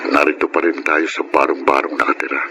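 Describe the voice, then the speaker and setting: An actor playing a troubled young petty thief from the slums in a Filipino radio drama. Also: male voice, extremely low pitch, flat delivery, quick pace, very noisy. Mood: somber, reflective. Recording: loud dramatic music behind.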